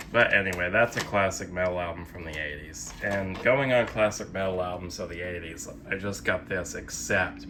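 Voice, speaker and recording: A man's voice: deep voice, noisy background. A young adult man giving a casual, rambling show-and-tell as a channel host, self-deprecating and sardonic, with casual swearing. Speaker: host of a physical media collecting channel.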